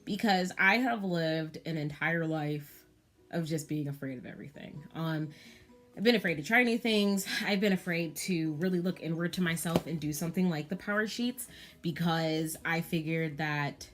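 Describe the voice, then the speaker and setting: A woman's voice: sits low, moderate pace, fairly bright tone, faint music behind. A woman in her thirties chatting candidly to camera about planning, with frequent ums and you-knows. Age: 30s. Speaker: planner vlogger. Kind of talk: casual chat to camera.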